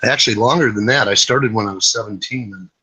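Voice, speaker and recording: A man's voice: fairly low pitch, fast talker, pushed and bright, husky, rough recording. A man in his fifties chatting casually on a group call, blunt, swearing freely and telling stories. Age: 50s. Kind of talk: casual late-night group chat. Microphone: online group video call.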